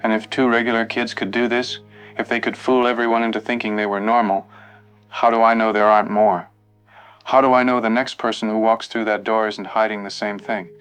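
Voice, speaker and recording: A man's voice: fairly low pitch, little pitch movement, a little fast, fairly bright tone, clear, resonant tone, close to an everyday voice. A man plays a weary, frightened night-shift motel clerk who hasn't slept, talking in an anxious, worn voice over faint music. He sounds jumpy and unconvinced by any comfort. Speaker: caller.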